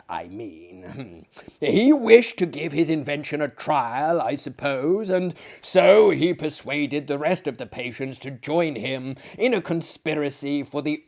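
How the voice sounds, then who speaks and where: Man acting a sly, boastful older gentleman, swooping theatrically. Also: fairly high pitch. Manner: theatrical, relishing, deliberate. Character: sly, boastful older Frenchman running an asylum. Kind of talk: dramatic literary storytelling.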